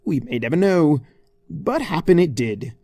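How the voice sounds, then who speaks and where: Comic audiobook narrator: arch, theatrical delivery, swooping intonation, mid pitch, dry recording. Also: very animated, a little slow, dark tone, dry recording. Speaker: fan fiction narrator.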